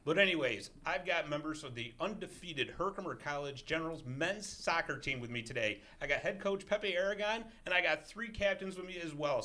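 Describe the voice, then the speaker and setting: A man hosting a college podcast in a studio, energetic and jokey with emphatic delivery. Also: on the high side. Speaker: podcast host. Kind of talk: upbeat interview hosting.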